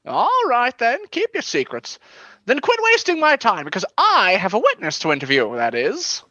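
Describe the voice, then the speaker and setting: A woman's voice: a little high, wildly swooping pitch, normal speed, sharp tone, no echo, full character voice. A woman playing a glib, evasive male detective with gambling debts, theatrical and breezy, in a comedic radio play. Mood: huffy, evasive.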